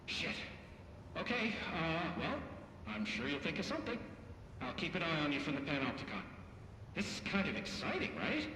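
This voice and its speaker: A bright, echoing intercom carries a nervous game-character staffer. He rambles awkwardly and fumbles for words, excitable and chatty, in a fairly high voice. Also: normal speed, long pauses, heavily acted. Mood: flustered, eager. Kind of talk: naturalistic game cutscene dialogue. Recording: echoey intercom in big hall, faint noise.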